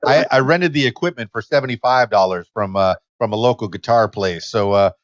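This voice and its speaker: A man in his fifties, a podcast host and DJ, chatting in a lively, self-deprecating way from a home studio. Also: fairly low pitch, a little fast, no room sound, muffled recording. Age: 50s. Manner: lively, self-deprecating, talks about himself with gusto. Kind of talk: casual interview chat. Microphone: desk mic on a boom arm.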